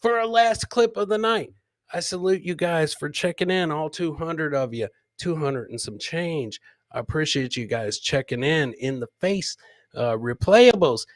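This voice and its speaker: A man's voice: on the high side, animated, measured pace, no room sound. A man hosting a live comedy podcast, chatting informally and rambling as he introduces clips and talks to viewers. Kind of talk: casual live-stream chat, riffing on clips and reading viewer comments.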